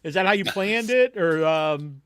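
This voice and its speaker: A man hosting a casual interview podcast, informal and halting as he puts questions to a guest. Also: high voice, lively intonation, a bit bright, clear, resonant tone, dry recording, clean studio sound. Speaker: podcast host.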